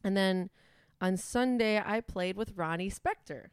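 A young adult woman with a Wisconsin accent, a musician chatting casually in an interview, full of "like" and "um" and quick to laugh. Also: medium pitch, smooth, no room sound, clean studio sound. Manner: chatty, frequent "like" and "um", laughs easily. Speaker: musician guest.

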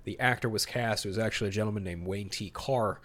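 Male podcast host, lower register, emphatic and opinionated, switching between narration and casual commentary. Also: fast, fairly bright tone. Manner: opinionated, emphatic, mildly profane. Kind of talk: podcast talk mixing read-out storyboard summary and casual commentary.

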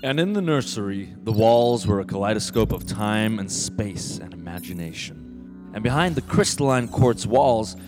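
A solemn radio-play narrator performed by a man with a low voice. His scripted delivery is measured and evocative, set over prominent music. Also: lightly acted.

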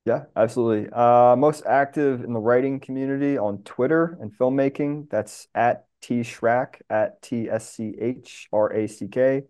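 Guy guesting on a podcast, average pitch, just chatting casually. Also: warm tone, clean tone. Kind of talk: casual chat.

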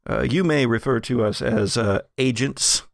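A man voicing an officious government agent who speaks formally and haltingly. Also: medium pitch, creaky, no room sound, clean studio sound, close to an everyday voice. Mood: halting, official. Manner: halting, formal, full of pauses. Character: hesitant, officious male agent questioning witnesses.

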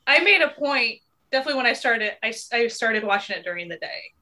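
A woman on a casual podcast with a bright, somewhat high voice. She chats in a friendly way and gives recommendations. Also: animated, quick pace. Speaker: podcast co-host.

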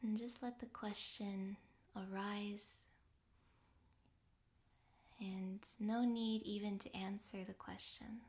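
A woman guiding a relaxation meditation in a soft, breathy, half-whispered voice, gently and with long pauses. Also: medium pitch, fairly even intonation. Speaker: yoga nidra meditation guide.

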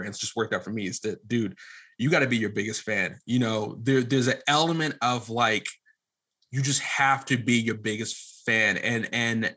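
Bright-voiced man talking informally as a guest. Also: medium pitch, husky. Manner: informal, colloquial, earnest, self-deprecating. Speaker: podcast guest, audio drama producer and director. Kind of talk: casual interview conversation.

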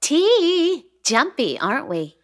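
A creepy young landlady in a radio play, sing-song and showy, her voice swooping high and low with dramatic flair. She drags out words and sounds sweet with an eerie edge underneath. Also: wildly swooping pitch, heavily acted. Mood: coaxing. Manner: lilting, grandly affected, drawn-out words. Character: eerie, theatrical young woman landlady, unsettling.

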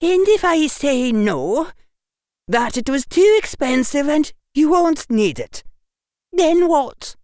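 Strict dignified witch teacher, high voice, slow and formal, bossy, audiobook character. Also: expressive, darkish tone, no room sound, studio quality. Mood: stern, dangerous. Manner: measured, authoritative, formal.

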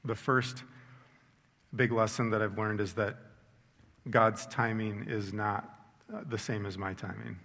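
A middle-aged man with a fairly low voice shares a heartfelt faith testimony. He is measured and reflective, and he pauses and slows down to stress his points. His words ring out with a long hall reverb. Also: a bit bright. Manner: sincere, measured, with slow emphatic pauses. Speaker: speaker giving a personal faith testimony. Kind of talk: earnest reflective testimony talk.

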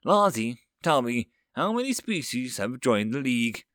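A composed human chancellor in his thirties, voiced by a male narrator in a sci-fi audiobook. He speaks diplomatically with measured phrasing and very varied pitch, recorded dry. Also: very animated, fairly bright tone, no echo. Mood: calm, stern.

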